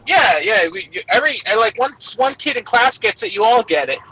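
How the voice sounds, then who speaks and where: A man with a high, shrill, bright-edged voice trades crude jokes and swears freely. He talks from inside a truck cab through a Bluetooth headset, so the sound is tinny, with faint engine noise underneath. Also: big pitch swings. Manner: crude, swears constantly, quick laughs. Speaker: podcast co-host calling in. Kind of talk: crude casual banter. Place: truck cab while working.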